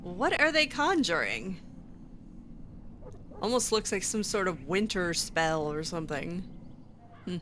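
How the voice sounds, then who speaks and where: A woman's voice: on the low side, sing-song, a little slow, long pauses, fairly bright tone, slight background noise. A woman improvising casual in-character dialogue as she plays a role-playing game, chatty and hesitant with a lightly sardonic edge. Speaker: gamer role-playing her character aloud.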